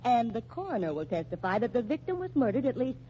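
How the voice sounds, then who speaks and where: An actress playing a sardonic, dogged American newspaper reporter in a brash, needling 1940s radio style. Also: female voice, fairly high pitch, very animated, quick pace, dark tone. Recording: muffled old radio recording, faint noise.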